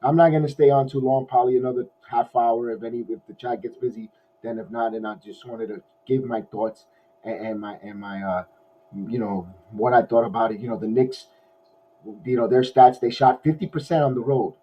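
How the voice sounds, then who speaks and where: A hoarse man hosts a loose post-game sports show, rambling through the numbers with plenty of restarts. His husky voice comes through a dull, lo-fi recording. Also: medium pitch, brisk. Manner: rambling, many restarts, excited fan. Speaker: podcast host. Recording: dull lo-fi audio.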